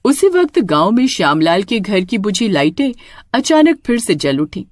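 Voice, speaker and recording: A woman's voice: mid-range voice, sing-song, normal speed, darkish tone, no room sound, studio quality. A woman storyteller reading a scripted tale in a dramatic narrating style.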